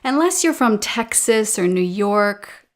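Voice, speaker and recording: A woman with a Canadian accent, a podcast guest talking in an easy, chatty way with plenty of "ums." Her voice sits at an ordinary middle pitch for a woman, and she sounds like she is on a video call. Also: slow. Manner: relaxed, chatty, with frequent fillers. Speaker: podcast guest, English teacher. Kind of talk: casual interview chat. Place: home room with a painting behind her.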